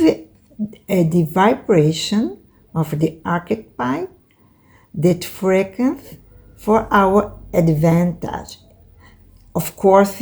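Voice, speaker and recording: A woman from Brazil with a Brazilian Portuguese accent, teaching card reading in English as a second language, halting and full of fillers. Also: lowish voice, gravelly, warm tone. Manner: unhurried, halting, many fillers and tag questions. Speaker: tarot and oracle card teacher.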